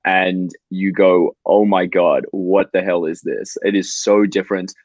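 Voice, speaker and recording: Low-voiced guy in his twenties. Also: fairly even intonation, unhurried, darkish tone, dry recording. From Australia. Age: 20s. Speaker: startup co-founder guest. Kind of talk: explaining software on a video call. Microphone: video call microphone. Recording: slightly dull, dry call audio.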